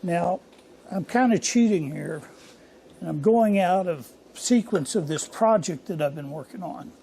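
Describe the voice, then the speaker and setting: Hoarse older male shop host, higher-pitched and gravelly, folksy and rambling. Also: a little slow, dark tone, a little hiss or hum. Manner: rambling, folksy and self-deprecating. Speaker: workshop channel host. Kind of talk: casual workshop vlog. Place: home machine shop.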